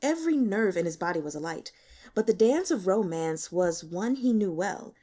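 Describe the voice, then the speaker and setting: A woman reading a novel aloud as scripted audiobook narration, with expressive, dramatic storytelling. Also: medium pitch, moderate pace. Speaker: audiobook reader.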